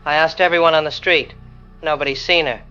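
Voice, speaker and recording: A man's voice: on the high side, fairly even intonation, fairly quick, sharp tone, clear, resonant tone, dull audio, soft background music. A man playing a worried, polite caller to a radio phone-in. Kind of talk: radio drama phone-in acting.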